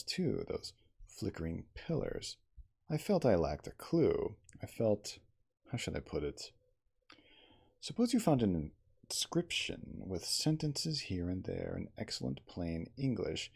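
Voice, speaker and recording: Deep, gravelly man reading a story aloud. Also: a little mellow. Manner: measured, theatrical, formal storytelling. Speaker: audiobook-style reader. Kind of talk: dramatic literary reading aloud, ASMR.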